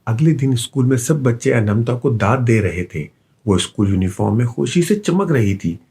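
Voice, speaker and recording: A man narrating a scripted children's bedtime story with an expressive storytelling delivery. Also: mid-range voice, normal speed, husky.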